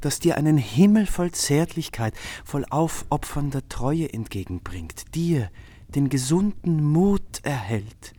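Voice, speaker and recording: An actor playing an exasperated friend in a literary radio drama, arguing in an emphatic, rhetorical, declamatory way. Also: male voice, fairly high pitch, a little mellow, no echo. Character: forceful, exasperated male friend scolding an artist.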